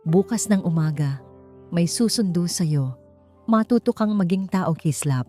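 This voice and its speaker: A woman's voice: lowish voice, lively intonation, a little slow, mellow, soft background music. A woman voicing a stern, wealthy father in an audiobook drama, curt, authoritative and scolding. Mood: cold, final. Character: stern, wealthy father, cold commanding patriarch.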